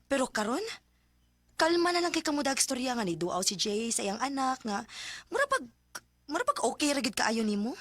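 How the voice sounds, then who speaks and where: Casting: nosy Cebuano best friend, very high dry-recorded voice, skeptical, outspoken radio-drama delivery. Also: female voice, very animated, brisk, long pauses, a bit bright, dry recording, heavily acted. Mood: chatty, teasing. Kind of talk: Filipino radio drama acting.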